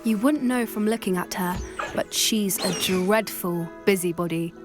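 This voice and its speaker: An actress playing a kind young student who cares for magical creatures, speaking in an earnest, confiding way. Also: female voice, medium pitch, unhurried, close to an everyday voice. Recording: game audio with music behind.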